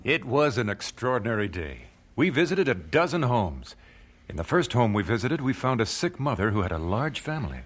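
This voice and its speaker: An actor playing an earnest, courteous gospel singer in an audio drama, polite and modest. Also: male voice, mid-range voice, very animated, moderate pace, slight background noise, natural voice. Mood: reflective, earnest. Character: earnest, courteous male gospel singer telling his story. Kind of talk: audio drama acting with reminiscing narration.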